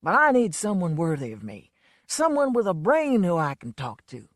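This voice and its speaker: Woman doing a drunken braggart, loud, slurred, swaggering, swooping pitch, dry close sound. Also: wildly swooping pitch, normal speed, no room sound. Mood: maudlin boasting. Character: drunken blustering man, a boastful folksy entertainer.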